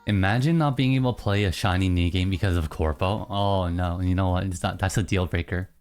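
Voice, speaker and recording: A streamer in casual live-stream chatter, teasing chat and answering in mock outrage between low, mumbled musings. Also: fairly quick, darkish tone, clean tone, faint music behind. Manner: reacts to chat, teasing, mock-indignant bursts.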